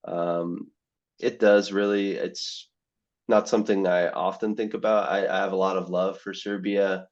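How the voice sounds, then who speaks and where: A man's voice: sits low, little pitch movement, measured pace, highs cut off. A young man, a medical student, answering interview questions in a rambling way, full of "you know" and restarts. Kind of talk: casual podcast interview.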